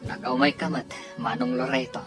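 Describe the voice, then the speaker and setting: A woman playing a soft-hearted, self-sacrificing grown man, a devoted uncle, in an audio story drama. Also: very deep voice, fairly even intonation, a little fast, over music. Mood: hesitant, subdued.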